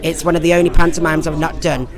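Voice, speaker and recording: A man's voice: a little high, little pitch movement, fast talker, over music. An English man from Lincoln chatting effusively and jovially as a pantomime actor being interviewed. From Lincoln, England. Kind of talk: casual interview chat. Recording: loud crowd chatter behind.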